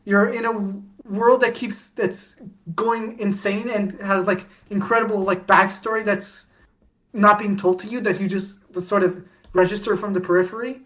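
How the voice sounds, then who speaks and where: A woman chatting as a podcast guest, rambling with many restarts and sudden bursts of excitement. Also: medium pitch, normal speed, poor audio. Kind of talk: casual podcast chat.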